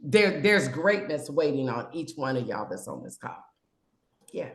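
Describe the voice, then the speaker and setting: Here is a woman in her sixties hosting a video call. She sounds exuberant and sermon-like, laughing as she goes and swinging her pitch high and low. Her voice carries a roomy echo. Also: big pitch swings, a little slow. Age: 60s. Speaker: network host. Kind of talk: casual video-call panel chat.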